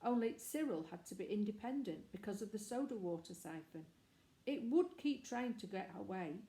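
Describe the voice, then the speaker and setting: A woman narrates a children's novel from a script. Her voice is mellow and mid-pitched. The room around her is clearly echoey. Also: lively intonation, normal speed. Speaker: storybook reader.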